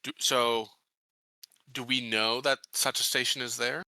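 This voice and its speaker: A man's voice: medium pitch, little pitch movement, sharp tone, no room sound, poor audio. A man playing a tabletop game, talking casually and hesitantly, feeling out his words as he negotiates. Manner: hesitant, deliberate, frequent pauses.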